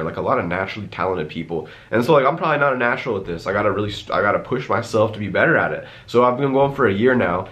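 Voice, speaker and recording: A man's voice: fairly low pitch, a bit bright. A young man in his twenties with a stuffy, congested voice, chatting casually to camera and answering viewer questions in a rambling way full of fillers. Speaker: YouTuber.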